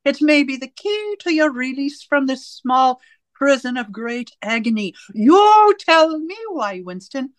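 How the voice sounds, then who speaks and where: A woman playing a cold, domineering interrogator in a radio drama, speaking in deliberate, commanding, rhetorical questions and pronouncements. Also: sits high, big pitch swings, no echo. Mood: controlled, imperious. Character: cold, domineering party interrogator and torturer, righteous and controlling. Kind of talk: theatrical radio drama acting.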